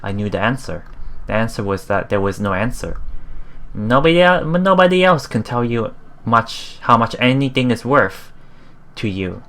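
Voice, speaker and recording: A let's play host reading a visual novel aloud in a halting way, with casual, musing commentary. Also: medium pitch, big pitch swings, fairly bright tone. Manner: halting, musing, repeats phrases.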